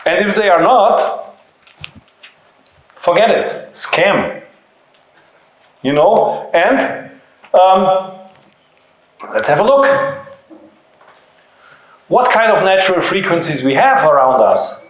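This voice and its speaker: Male seminar presenter, native German speaker with German accent, slightly high pitch, didactic and informal, heavily reverberant room. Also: sing-song, slow, long pauses. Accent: German-speaking European. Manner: didactic, uses rhetorical questions and short emphatic phrases. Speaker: seminar presenter, company founder. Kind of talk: informal seminar talk to an audience.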